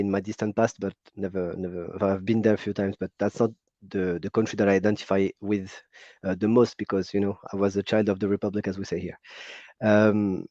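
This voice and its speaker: A man with a French accent talks slowly through a call-in chat, stopping often on fillers and restarting his sentences. His voice is fairly low and mellow. The audio is dry and a bit muffled, like a video call. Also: no room sound. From France. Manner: hesitant, many fillers and restarts. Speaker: caller. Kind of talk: casual call-in conversation.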